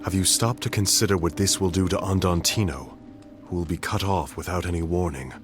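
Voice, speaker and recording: A man playing a cool, probing young nobleman who questions in a deep, precise, formal voice. Game music plays clearly behind him. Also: brisk, lightly acted. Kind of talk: game cutscene voice acting. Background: game soundtrack music.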